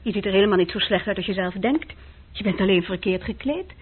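A woman's voice: mid-range voice, quick pace, husky, lightly acted. An actress playing an educated, frank young woman who gives advice in a radio play. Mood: frank, soft. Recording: dull, muffled old radio recording.

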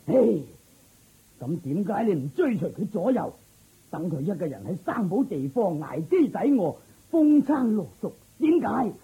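A man's voice: high voice, very animated, a little slow, slightly rough, phone-quality sound, heavily acted. A man playing an anxious king and worried father in a radio drama, sighing and demanding as he speaks. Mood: alarmed, demanding.

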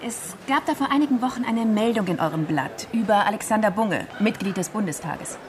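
A woman's voice: medium pitch, animated, a little fast, noisy background, lightly acted. An actress playing a dry, sceptical woman investigator in a radio drama, probing as she talks.

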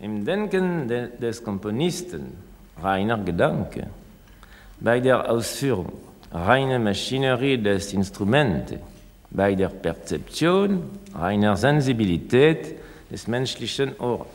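A man lecturing in a scripted radio-essay dialogue, formal, deliberate and oracular as he expounds ideas. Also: medium pitch, big pitch swings. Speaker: thinker expounding ideas on sound. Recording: long reverberant hall.

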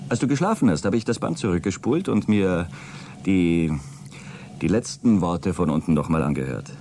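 A fatalistic astronaut in a radio play. The voice is deep and lively, rising and dropping with sardonic, taunting theatricality. Faint noise lies behind the speech. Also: male voice, moderate pace. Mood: grim, resigned. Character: astronaut Albert, cynical, fatalistic, mocking space crewman. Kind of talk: German radio play acting.

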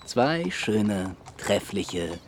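An actor playing a courteous, self-assured Regency gentleman suitor in a radio play, with a polished, persuasive, formal manner. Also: on the low side, sing-song, extremely slow, slight background noise, heavily acted. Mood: wooing. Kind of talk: period radio drama acting.

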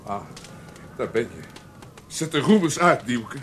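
A man's voice: mid-range voice, very animated, unhurried, a bit bright. An actor playing a heartbroken, guilt-ridden man with emotional, self-pitying delivery in a radio play. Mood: forced merriment. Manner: emotional, self-pitying, swinging from subdued to agitated. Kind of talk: Dutch radio play acting. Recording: hissy old radio play recording.

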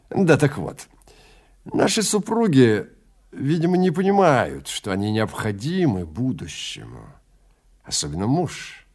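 Guy doing a slick sinister film director for an audio drama, slow teasing pauses, voice goes up and down a lot. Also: lowish voice, gravelly, a little mellow, exaggerated voice. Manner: unhurried, theatrical, insinuating, pausing between phrases. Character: suave, menacing male film director, calmly toying with his prey.

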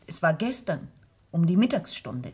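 A woman's voice: lowish voice, sing-song, normal speed, darkish tone, breathy edge. An actress playing a proud, guarded young woman under questioning, answering in a terse, formal way in a vintage German radio crime drama.

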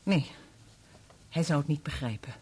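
A guarded, courteous married woman in a radio play. Her voice is fairly low, dark and husky. She holds back and picks her words with some hesitation. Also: a little slow. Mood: uneasy, reserved. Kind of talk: Dutch radio play acting.

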